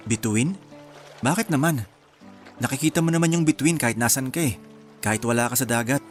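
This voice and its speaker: A man's voice: medium pitch, fairly quick, natural voice. Actor playing young man, Filipino accent, easygoing, reflective, English phrases mixed in, radio drama, faint music. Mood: relaxed, curious. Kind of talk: Tagalog radio drama acting.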